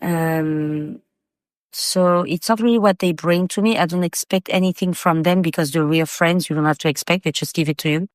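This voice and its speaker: A woman's voice: on the low side, normal speed, dry recording. A woman from Paris, France, chatting casually as a guest on a podcast. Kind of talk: casual chat.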